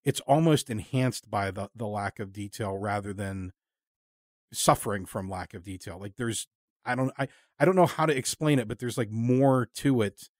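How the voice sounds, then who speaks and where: A man's voice: on the low side, moderate pace, dry recording, studio quality. Casual male interviewer, rambling and earnest. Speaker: podcast host and interviewer.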